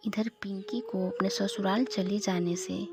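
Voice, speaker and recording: A woman's voice: medium pitch, fairly even intonation, measured pace. A woman reading scripted narration for a children's story. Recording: music behind the voice.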